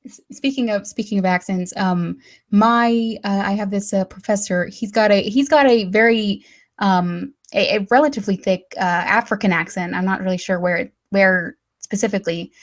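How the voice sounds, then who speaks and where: A woman's voice: mid-range voice, normal speed. A young woman from Texas talks casually as a guest. She hesitates and restarts often, and her humor is dry and sarcastic. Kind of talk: casual chat.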